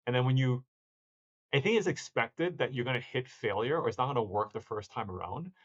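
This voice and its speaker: A man, a startup founder, answering interview questions in a reflective, halting way with frequent restarts. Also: medium pitch, expressive, muffled recording. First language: not stated. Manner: reflective, many restarts and self-corrections.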